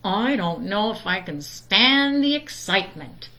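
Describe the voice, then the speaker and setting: A woman's voice: medium pitch, sing-song, a little slow, bright, forceful tone, slight background noise, full character voice. A woman voicing a curious, frank young boy bear cub in a storybook. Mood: grumbling.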